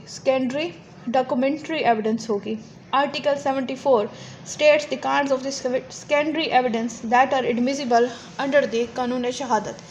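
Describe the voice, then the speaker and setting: A woman's voice: sits high, a little hiss or hum. A woman with a Pakistani accent giving an explanatory lecture, didactic, often posing questions and answering them herself. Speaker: law lecturer.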